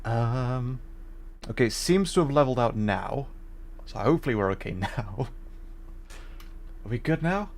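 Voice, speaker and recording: A man's voice: medium pitch, lively intonation, moderate pace, long pauses. A man hosting a gaming livestream, chatting casually in a polite, hesitant way. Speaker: livestream host, VTuber. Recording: faint background noise.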